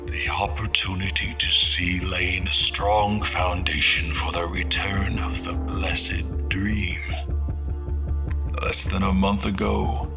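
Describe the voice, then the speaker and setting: Deep male voice played by an actor, grave fantasy chronicler, slow and hushed, audio drama narration, music underneath. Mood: solemn. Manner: grave, deliberate, often hushed. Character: solemn male steward recounting a fallen world's war. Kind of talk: dramatic first-person scripted narration.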